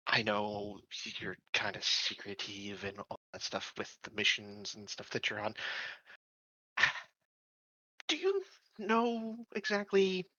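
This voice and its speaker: A man plays an earnest, secretive non-human security officer in a tabletop game. The voice is bright and swings widely in pitch, with polite, hesitant phrasing. The recording is dry and a little dull. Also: no echo, full character voice. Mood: chatty.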